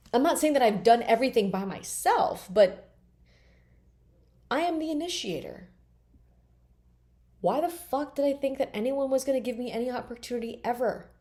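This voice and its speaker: A woman's voice: high voice, darkish tone. A woman in her late twenties talking bluntly and emphatically in a candid personal vlog, swearing freely. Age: 20s. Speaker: vlogger.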